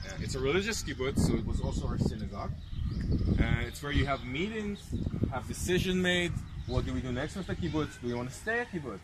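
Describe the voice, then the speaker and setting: A man's voice: mid-range voice, expressive, quick pace. Male tour guide explaining over outdoor noise. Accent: English with Anglo influence from American and South African parents. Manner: explains step by step with rhetorical questions and check-ins. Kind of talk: informal explanatory tour talk. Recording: clear outdoor ambient noise.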